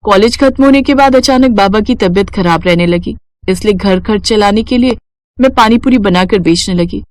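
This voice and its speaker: A woman's voice: medium pitch, normal speed, no echo, natural voice. A woman voicing a weary, devoted young street-food seller, plaintive and lamenting in a melodramatic story. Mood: sorrowful.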